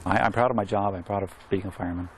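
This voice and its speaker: A man, a firefighter, speaks in halting, sincere, informal interview talk. His voice is fairly low and gravelly, recorded on location with faint vehicle noise. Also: fairly even intonation.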